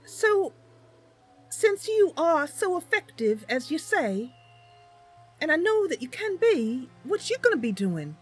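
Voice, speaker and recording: A woman's voice: high-pitched, sing-song, slow pace, soft background music, voice pushed up, exaggerated voice. A woman voicing an earnest, apologetic young female wood elf for a game roleplay, hesitant, naive and plain-spoken. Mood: curious.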